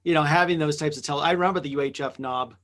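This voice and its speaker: A man's voice: fairly high pitch, racing, fairly bright tone. A man tossing quips into a casual group chat. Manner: quippy. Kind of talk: casual joking banter.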